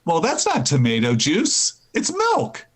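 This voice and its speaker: A man's voice: on the high side, huge pitch swings, measured pace, exaggerated voice. A man playing a breezy, teasing, cheerful husband in 1940s radio comedy style.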